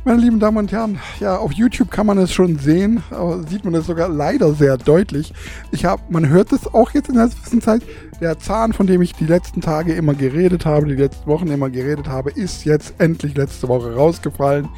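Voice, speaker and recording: A man's voice: a little high, brisk, warm tone, soft background music. Lisping man chatting into a mic. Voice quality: lisp from a tooth gap. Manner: chatty, laughs often, self-mocking. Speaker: podcast host. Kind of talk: casual solo podcast chat. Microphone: studio microphone.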